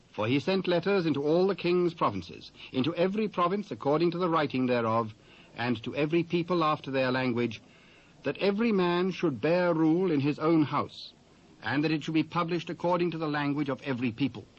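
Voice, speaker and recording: A British man narrating scripture in a measured, solemn storytelling manner. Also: fairly high pitch. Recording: dull old cassette transfer.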